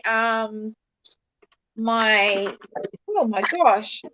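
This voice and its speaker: An Australian woman chatting on a live stream, often pausing and filling while she hunts for words. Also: fairly high pitch, fairly bright tone, no room sound, muffled recording. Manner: chatty, searches for words with fillers. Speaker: card reader, stream guest. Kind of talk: casual chat on a live stream.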